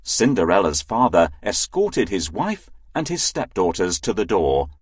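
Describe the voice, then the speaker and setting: A storyteller giving an expressive, scripted narration of a children's fairy tale. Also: low-pitched, measured pace, husky, dry recording, clean studio sound.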